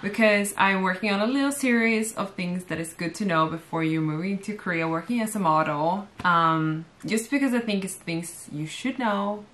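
A woman's voice: mid-range voice, moderate pace, few pauses, a bit bright. A young woman from Sweden hosts her own vlog with a bubbly, laugh-filled delivery. Her speech is casual and upbeat, with an ordinary mid-range voice. She sits at home facing the camera next to a friend. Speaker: host, model. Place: bright home room, seated on sofa.

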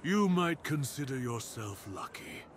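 A man playing a brooding, cursed dire wolf master in an ASMR roleplay, speaking in a solemn, deliberate, theatrical way with formal wording. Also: mid-range voice, big pitch swings, a little hiss or hum. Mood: commanding. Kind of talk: ASMR roleplay, dramatic monologue.

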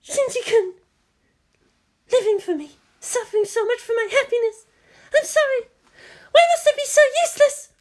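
A narrator voicing a frightened, tearful young captive woman with a breathy, pleading, emotional delivery. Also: very high-pitched, normal speed, hardly any pauses, fairly bright tone, pitched above the performer's own voice. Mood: anguished, tearful.

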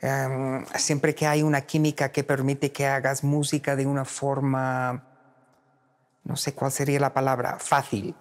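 A man's voice: mid-range voice, measured pace. Older American man speaking Spanish. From United States. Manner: relaxed, searching for words. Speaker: pianist. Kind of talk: interview. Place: seated in a concert hall. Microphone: lapel.

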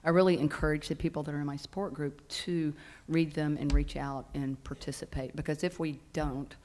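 A woman's voice: low voice, fairly even intonation, a little fast, darkish tone. A middle-aged woman speaking as a patient on a conference panel, earnest and hesitant with frequent ums, into a stand microphone. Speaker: patient panelist and support group leader. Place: conference hall stage panel.

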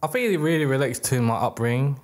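A young man born in the UK, a record label founder talking casually as a podcast guest, rambling with many restarts, on a boom mic in a small studio. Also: expressive, fast, a little mellow. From United Kingdom. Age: young adult. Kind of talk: casual interview. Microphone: boom mic on arm, headphones.

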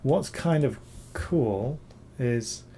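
A man, hoarse from recovering from illness, talking through a screen-recorded software tutorial, rambling with many ums and repeated words. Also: mid-range voice, lively intonation, drawn-out words, slight background noise. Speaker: bas-relief sculptor reviewing software.

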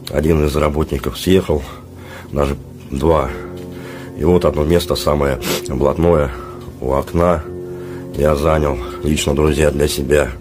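A man with an extremely low, flat voice talks casually to his vlog viewers. He is sick with a sore throat and his voice is worn out, so he speaks in halting phrases. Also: music in the background. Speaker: vlogger. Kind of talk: casual vlog talk to camera. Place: small dormitory room, indoors. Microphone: handheld phone at arm's length.

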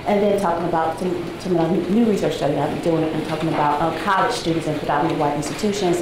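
A woman in her forties giving an academic talk to a seated audience, soft-spoken and conversational with frequent ums, heard from a distant camera in a large seminar room. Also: fairly low pitch. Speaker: guest lecturer. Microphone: far camera, no visible mic. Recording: echoey room, noisy background.

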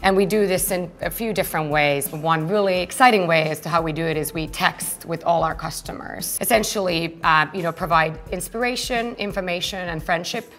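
A fairly low-voiced woman originally from Finland speaks earnestly and fluently, explaining her company in an interview. She sits in an open-plan office, miked close with a lapel mic, and soft music sits faintly underneath her. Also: fairly quick, few pauses. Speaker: co-founder and guest. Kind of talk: business interview conversation.

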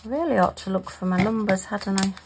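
A middle-aged woman talking casually to herself while crafting, her voice going hoarse. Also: mid-range voice, fairly quick, noisy background. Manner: chatty, talks to herself. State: losing her voice. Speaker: craft vlogger.

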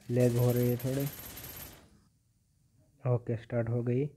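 A man's voice: mid-range voice, flat delivery, slow, dark tone, a little hiss or hum. A teenage male giving casual gameplay commentary as a gaming video creator. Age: teenager, about 15. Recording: dull, lo-fi phone-like audio.

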